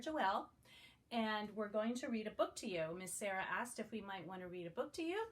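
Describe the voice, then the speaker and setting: A woman's voice: a little high, slight background noise. A woman reading a picture book aloud to young children as a guest reader. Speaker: guest story reader for preschoolers.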